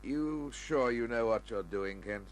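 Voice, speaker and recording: A man's voice: medium pitch, a little slow, close to an everyday voice. An actor playing a wary, controlled male crime boss who questions guardedly, in an old-time radio crime drama. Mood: challenging. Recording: humming, noisy old radio recording.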